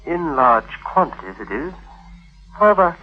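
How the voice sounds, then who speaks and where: An earnest young tutor with a sly streak, in vintage radio drama style. His delivery is deliberate and theatrical, with wide swings in pitch, on a dull old broadcast. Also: sing-song. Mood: confiding, hesitant.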